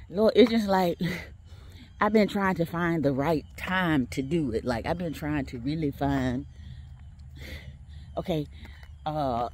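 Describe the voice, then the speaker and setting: A woman's voice: low-pitched, a little mellow, slight background noise. A woman chatting casually to her viewers while she walks on an outdoor track, laughing often and talking straight to them. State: walking outdoors, a bit tired. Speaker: vlogger.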